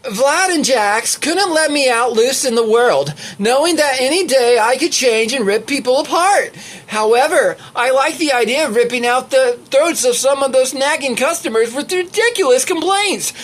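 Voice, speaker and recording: A man putting on a high-pitched, camp, theatrical voice as a whiny, exasperated male storyteller. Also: animated, normal speed, fairly bright tone, heavily acted.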